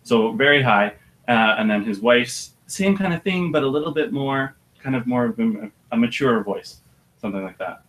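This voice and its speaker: An audiobook narrator with a deep voice, talking about his work in a relaxed, thoughtful way with some hesitation. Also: moderate pace, low-quality recording. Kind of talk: casual interview chat.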